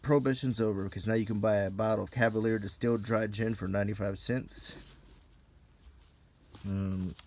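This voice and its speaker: A man reading an old printed text aloud in an ASMR style, unhurried and low-key, with casual offhand asides. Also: a little hiss or hum.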